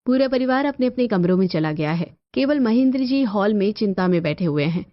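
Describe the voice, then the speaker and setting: A woman's voice: medium pitch, animated, a little fast. A woman reading scripted narration for a cartoon family story. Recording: dull lo-fi audio over music.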